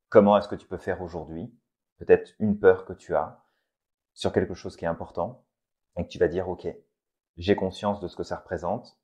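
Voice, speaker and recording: A man co-hosting a casual motivational podcast, speaking calmly and addressing the listener directly to push them into action. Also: low voice. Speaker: podcast co-host.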